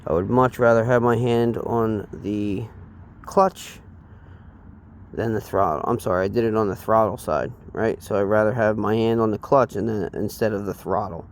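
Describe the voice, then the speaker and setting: A man giving a casual, unscripted hands-on tutorial, speaking haltingly and trailing off as he works with his hands. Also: lowish voice, steady tone, a little hiss or hum. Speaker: DIY installation demonstrator.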